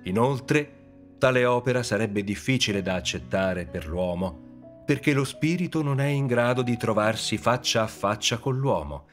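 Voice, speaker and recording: A man reading a religious text aloud in a solemn, measured way. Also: medium pitch, lively intonation, soft background music. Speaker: scripture reader.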